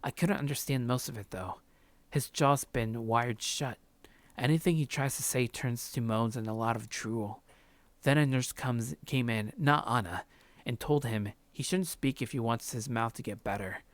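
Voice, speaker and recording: A man's voice: medium pitch, moderate pace, no echo. A man hosting a let's play, reading a visual novel's first-person narration aloud.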